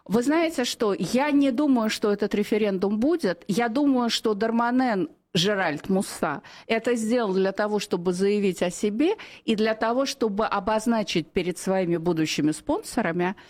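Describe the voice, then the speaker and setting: A woman's voice: mid-range voice, moderate pace, hardly any pauses, a little mellow. A middle-aged woman from Russia, a journalist giving heated, opinionated answers on a radio show, with frequent fillers and self-corrections.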